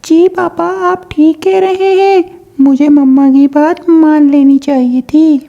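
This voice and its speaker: A woman's voice: high-pitched, fairly even intonation, slow pace, creaky, warm tone, muffled recording, full character voice. A woman playing a small, innocent, polite baby girl bird in a children's cartoon. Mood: polite, childlike. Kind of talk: Hindi cartoon voice acting.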